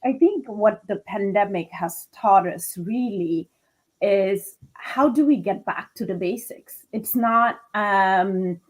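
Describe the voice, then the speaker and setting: Measured woman from Senegal. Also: mid-range voice, rough recording. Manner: measured, structured, counting off points. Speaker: guest, chief people officer. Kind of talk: interview answers.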